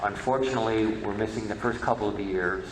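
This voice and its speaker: A man's voice: fairly low pitch, a little fast. A man giving an explanatory conference talk as a panel speaker on radio history. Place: roomy indoor conference presentation space. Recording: echoey, noisy room recording.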